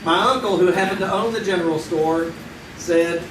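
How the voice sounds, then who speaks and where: A man's voice: high-pitched, steady tone, moderate pace, natural voice. An actor playing a man telling a childhood story to friends in a folksy way in a live radio play. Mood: nostalgic. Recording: echoey stage recording from far off, with audience noise.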